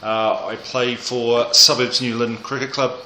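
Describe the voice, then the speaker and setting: A man's voice: mid-range voice, flat delivery, measured pace, bright, forceful tone, a little hiss or hum. A man, a cricket player, giving a brief, plain introduction. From New Zealand. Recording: echoey room.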